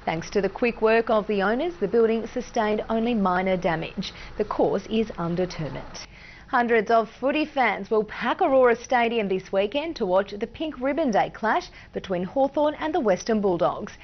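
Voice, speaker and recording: A woman's voice: on the high side, expressive, moderate pace, highs cut off. An Australian woman working as a news anchor, reading scripted bulletin copy.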